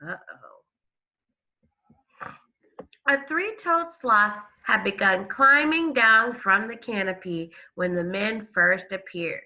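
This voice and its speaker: Woman, bright clear-cut tone, expressive elementary teacher, slower read-aloud pace, explaining as she goes. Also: mid-range voice, low-quality recording. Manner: expressive, explains to listeners with questions and gasps.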